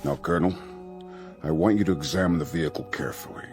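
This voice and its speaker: A man's voice: a bass voice, quick pace. A man acting in a radio drama as a skeptical, commanding military general with a brusque manner. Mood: stern, commanding. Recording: music bed underneath.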